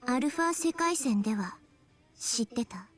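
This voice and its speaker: An actress playing a frank, watchful young female soldier in an anime visual novel, speaking in a brisk, direct way. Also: on the high side, animated, breathy edge, faint music behind. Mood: probing, wary.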